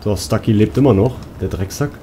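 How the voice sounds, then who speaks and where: A man with a slightly husky voice giving casual commentary as a let's play host. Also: fairly low pitch, brisk, background noise.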